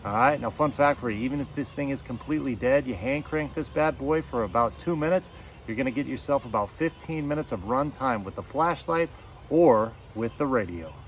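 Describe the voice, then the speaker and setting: A man's voice: mid-range voice, expressive, a little fast, a little hiss or hum. A man giving a casual product review to camera as host, with a folksy, slangy way of talking and playful catchphrases.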